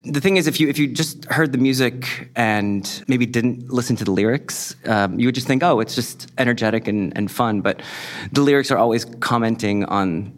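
A man's voice: medium pitch, normal speed. A young adult man, a musician, talking casually in an interview, rambling a little with many fillers. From United States. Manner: relaxed, rambling with frequent fillers.

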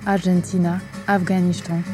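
A woman's voice: fairly low pitch, level tone, quick pace. A woman with a European Portuguese accent hosting a podcast with scripted narration. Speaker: podcast host. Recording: music behind the voice.

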